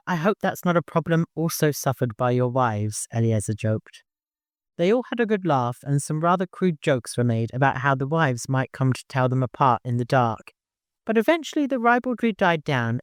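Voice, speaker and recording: Male audiobook narrator, mid-pitched, scripted literary storytelling with a very dry, close sound. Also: big pitch swings, moderate pace, clear, resonant tone, no room sound.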